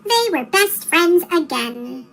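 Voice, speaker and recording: A tiny, sped-up chipmunk voice narrates a children's storybook. It is extremely high and swings up and down with lots of animation. There is noticeable noise in the recording. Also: big pitch swings, slow, fairly bright tone. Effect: sped-up chipmunk voice effect. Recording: clearly noisy recording.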